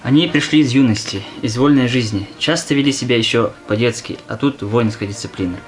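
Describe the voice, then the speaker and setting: A man's voice: medium pitch, a little fast, close to an everyday voice. A man narrating a war audio play from a literary script. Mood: reflective. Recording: music under the voice.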